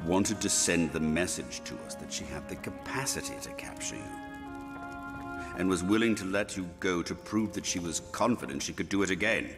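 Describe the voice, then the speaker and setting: A man playing a stern, shrewd official in a fantasy video game, speaking in a brooding, deliberate way. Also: low-pitched, expressive. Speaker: voice actor. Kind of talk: dramatic video game voice acting. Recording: music behind the voice.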